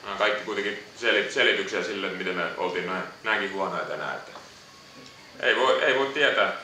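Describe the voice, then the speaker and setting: A basketball player answering postgame questions, hesitant and repeating words. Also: male voice, deep voice, pushed and bright, slight background noise. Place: echoey press room. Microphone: distant room mic. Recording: echoey room audio from far off.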